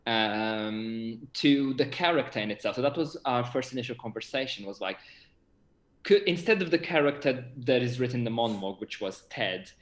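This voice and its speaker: Young male theatre director, average pitch, animated halting talk, roomy echoey video-call sound. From Portugal. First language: Portuguese. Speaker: theatre director being interviewed. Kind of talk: casual interview chat. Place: indoor room on a video call.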